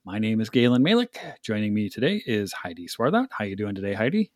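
A man hosts a casual podcast in an upbeat, welcoming way. His pitch moves a lot. The recording is dry. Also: sing-song, quick pace, dry recording. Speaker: podcast host.

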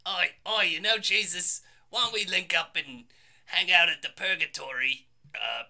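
A rowdy Australian showman, put on by a man in a comedy podcast bit, with a loose, bawdy, slang-heavy delivery. The voice is very bright and sharp and sits a little high. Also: normal speed, creaky, heavily acted. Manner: bawdy, joking, slangy, laughing often.

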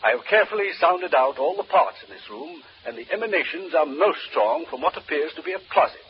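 An actor playing a methodical doctor reporting on a haunted house investigation in formal, deliberate phrases, in an old radio horror play. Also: male voice, on the high side, lively intonation, natural voice. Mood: grave, intent. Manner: formal, precise, deliberate, pedantic. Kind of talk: old-time radio horror drama acting. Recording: muffled old radio recording, background hiss.

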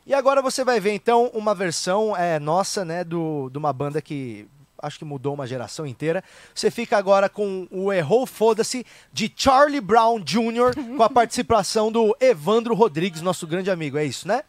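A man's voice: sits high, lively intonation, fairly quick, no echo. A man with a Brazilian accent hosting a joking panel podcast and steering the segments. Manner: runs the show, banters loudly. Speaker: podcast host.

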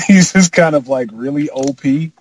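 A man in casual chat as a podcast panelist, speaking in a halting, slangy, informal way. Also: on the high side, poor audio.

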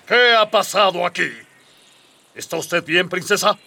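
Pompous gruff colonel, gravelly, hard forceful edge, commanding, radio comedy, faint music. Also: male voice, sits high, a little slow, distant mic, heavily acted. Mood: indignant, suspicious. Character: pompous, barking high-ranking palace guard colonel. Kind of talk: comic radio soap acting.

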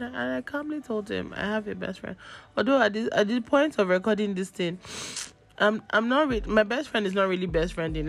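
A young Nigerian woman with a cold, losing her voice, hosting a podcast and telling a story in a chatty, animated way. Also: fairly low pitch, gravelly. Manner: chatty, animated, acts out texts in quotes. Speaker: podcast host. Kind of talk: casual storytelling.

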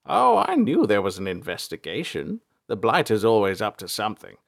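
A man voicing a warm, doddery, excitable old elder in a storybook, fond and full of exclamations. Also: lowish voice, normal speed, gravelly, full character voice. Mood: fondly nostalgic.

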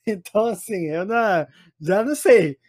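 A man's voice: high voice, animated, moderate pace, a little mellow, no echo, studio quality. A Brazilian man chatting casually about football as a commentator, slangy and emphatic, often repeating his phrases for weight.

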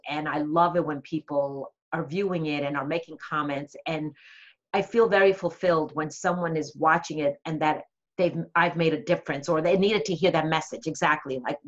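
Low-voiced earnest woman on a dull line. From Connecticut. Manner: earnest, warm, motivational. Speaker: guest, coach. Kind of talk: casual interview chat.

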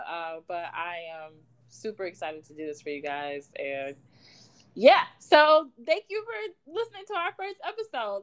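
A woman in her twenties from Chicago, co-hosting a casual fan podcast, bubbly and quick to laugh. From Chicago, Illinois. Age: 20s. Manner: bubbly, laughs a lot, animated swings in pitch and volume. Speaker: podcast co-host. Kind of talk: casual chat.

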